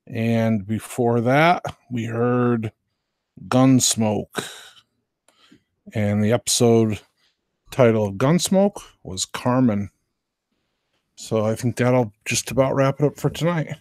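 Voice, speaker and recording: A man's voice: medium pitch, slow, pauses often, a little mellow, dry recording, studio quality. A man hosting a live stream, chatting casually with viewers in a hesitant, unpolished way, often trailing off. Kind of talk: casual rambling chat with viewers.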